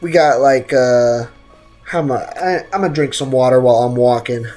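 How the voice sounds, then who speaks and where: Casting: female game streamer, very deep voice, slangy and teasing, slightly sick throat, faint music. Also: normal speed, dark tone. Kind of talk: casual live gameplay commentary.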